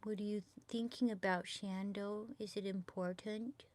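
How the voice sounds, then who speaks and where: A woman's voice: mid-range voice, steady tone, measured pace, a little mellow, no room sound. A woman chatting casually and rambling on a livestream, unpacking things and talking to her dog.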